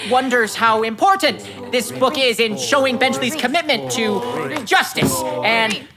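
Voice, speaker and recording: A woman's voice: fairly high pitch, a little slow, a crowd in the background, natural voice. A woman playing an earnest, pompous sixth-grade boy detective in a children's audio drama, with formal, bookish phrasing. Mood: grandiose. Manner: grandiose, formal, bookish delivery. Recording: music behind the voice.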